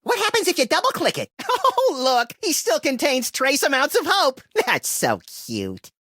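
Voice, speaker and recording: A little rabbit-like cartoon troublemaker for a comedy game, high and very animated. The creature is gleefully violent and flippant, tossing out wisecracks. The recording is close and dry. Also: normal speed, no echo, exaggerated voice. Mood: gleeful, destructive.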